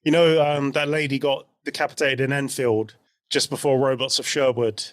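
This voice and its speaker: A man's voice: mid-range voice, flat delivery, moderate pace, dry recording. British man chatting, dry close recording. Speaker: podcast co-host. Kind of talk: casual chat.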